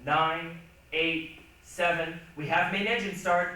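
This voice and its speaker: A man's voice: a little high, fairly even intonation, slow, bright, forceful tone. A man performing a dramatic stage reading with an emphatic, theatrical delivery. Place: large echoey room, recorded from a distance. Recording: echoey hall, distant mic, faint noise.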